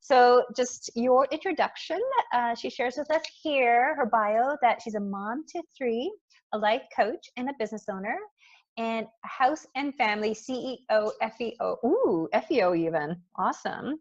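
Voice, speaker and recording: A Canadian woman hosting a podcast in a casual, excitable, slangy way, on slightly dull audio. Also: a little high, moderate pace. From Montreal, Canada. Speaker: podcast host. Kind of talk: casual chatty podcast interview.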